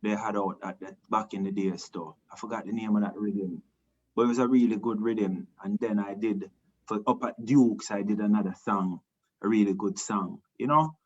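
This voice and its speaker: A man from Jamaica with a Jamaican accent, a reggae singer answering interview questions in a relaxed, rambling way, full of restarts and "you know". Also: on the low side, moderate pace, slightly rough, muffled recording. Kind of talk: casual interview talk.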